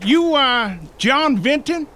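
High-pitched, folksy old country man. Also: expressive, slow pace, bright, forceful tone, heavily acted. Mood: folksy, nosy. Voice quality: mouth full of chewing tobacco. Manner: folksy rustic drawl. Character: gruff, suspicious fat old rural man, 1890s. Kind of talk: period film drama acting.

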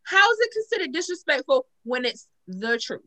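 A woman moderates a casual group discussion in a high, bright voice. Her pitch swings widely as she talks, and her manner is blunt, slangy and animated. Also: normal speed, poor audio. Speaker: host moderating a panel discussion. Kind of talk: lively casual group chat.

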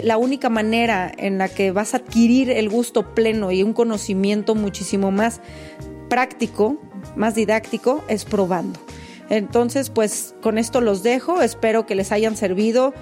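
A woman's voice: mid-range voice, a little mellow. A woman sommelier presenting a segment, upbeat and talking straight to viewers. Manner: upbeat, addressing viewers directly. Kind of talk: scripted segment.

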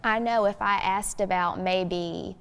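A woman's voice: mid-range voice, fairly even intonation, slow, gravelly, fairly bright tone, clean tone. Young female guest, teens, mid-range voice, thoughtful and explanatory discussion, recorded with heavy hall reverb. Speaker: youth guest. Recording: long hollow room reverb.

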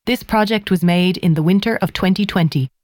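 A woman reading the closing credits briskly. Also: on the low side, darkish tone. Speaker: credits announcer.

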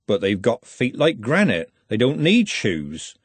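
A man's voice: medium pitch, wildly swooping pitch, measured pace, husky, no room sound, heavily acted. Man acting a friendly dwarf craftsman. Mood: friendly, surprised. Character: friendly male dwarf craftsman, mildly puzzled. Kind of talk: audiobook character acting.

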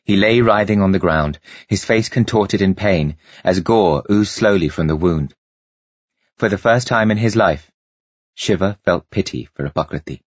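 Male storyteller for dramatic fiction narration; low, slightly rough voice, measured and expressive. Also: no echo, lo-fi sound. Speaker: audiobook narrator.

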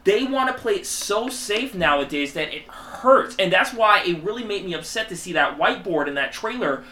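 A man's voice: sits high, expressive, normal speed, pushed and bright. A man in a casual group podcast chat, insistent, repeating words for emphasis. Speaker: podcast co-host. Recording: echoey room, faint background noise.

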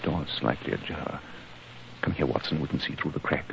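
An actor playing a brisk, self-assured British gentleman detective, clipped and authoritative, in 1940s radio style. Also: a bass voice, fairly even intonation, gravelly, slight background noise, full character voice. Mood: hushed. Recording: dull 1940s radio recording.

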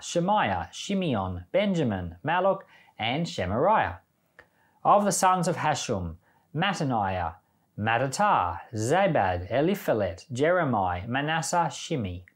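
A man reading scripture aloud for a Bible podcast in a measured, deliberate way, as a pastor would. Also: medium pitch.

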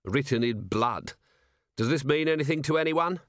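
A man's voice: medium pitch, expressive, moderate pace, no room sound, natural voice. Man doing a curt, weary police commander. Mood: weary, impatient. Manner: curt, dry, exasperated.

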